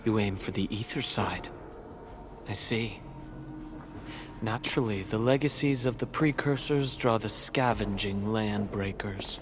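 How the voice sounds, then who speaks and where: An actor playing a grave, disdainful warlord in a sci-fi fantasy video game, with a solemn, portentous delivery. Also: male voice, lowish voice, measured pace, background noise, lightly acted. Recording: loud music over the voice.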